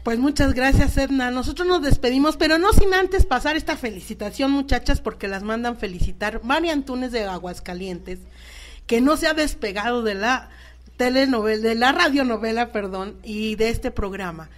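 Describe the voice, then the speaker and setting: Radio host, female, Mexican accent, higher-pitched voice, speaking live to guests and listeners. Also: normal speed.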